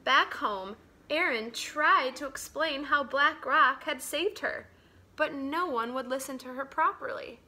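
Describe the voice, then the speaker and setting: A woman with a high, bright voice reads a story aloud to children. She takes her time, telling it slowly and dramatically. Her delivery moves between hushed phrases and strong, emphatic ones. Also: a little hiss or hum. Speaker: teacher reading a picture book aloud.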